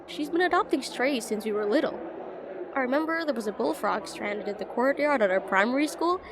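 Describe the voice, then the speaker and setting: A woman's voice: high-pitched, lively intonation, brisk, noisy background. A woman playing a shy, rambling young witch student in a slice-of-life fantasy audio roleplay, chatty, hesitant and self-deprecating. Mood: warm, chatty. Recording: voice over busy classroom crowd ambience.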